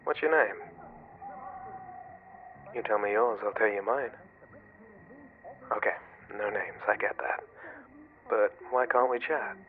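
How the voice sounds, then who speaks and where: Man playing a slow-talking, soft, menacing slasher killer. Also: fairly low pitch, phone-quality sound. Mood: coaxing, falsely friendly. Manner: soft, coaxing, menacing, often whispering. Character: obsessed male slasher serial killer, menacing, falsely friendly. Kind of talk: ASMR horror roleplay, 90s slasher pastiche.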